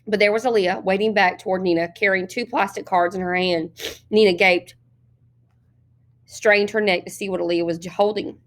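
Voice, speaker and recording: A woman reading a novel aloud as an expressive storyteller, narrating the scenes. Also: mid-range voice, moderate pace. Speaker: story reader.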